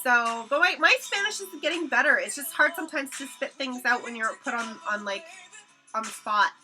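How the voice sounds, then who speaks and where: A woman's voice: on the high side, normal speed, bright, forceful tone, soft background music, low-quality recording. A young woman hosting a painting livestream, chatting casually with viewers and reading out their comments, swearing freely and laughing often; her first language is Hebrew. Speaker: livestream host. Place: home studio while painting.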